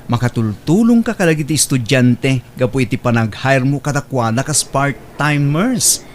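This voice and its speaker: A man's voice: mid-range voice, lively intonation, normal speed, a little hiss or hum, natural voice. A man voicing a friendly, supportive coworker in a casual tone. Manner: casual, encouraging. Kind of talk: audio drama acting.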